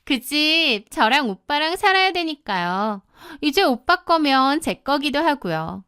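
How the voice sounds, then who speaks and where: A woman voicing a brazen young mistress in an audio drama, calm, cheeky and mock-polite as she taunts a wife. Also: sits high, a little slow, dry recording, studio quality, voice pushed up, full character voice. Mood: taunting, mock-calm.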